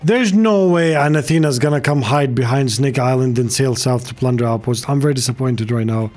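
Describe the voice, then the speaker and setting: A man's voice: mid-range voice, brisk, darkish tone. Arabic-speaking man streaming, music behind. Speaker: game streamer. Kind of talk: casual gaming commentary. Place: small indoor room, streaming setup. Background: game music.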